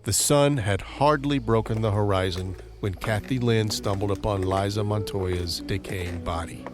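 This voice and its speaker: A man with a fairly low voice narrates a crime-horror audio drama. He tells it deliberately, with measured pauses, over clearly audible music.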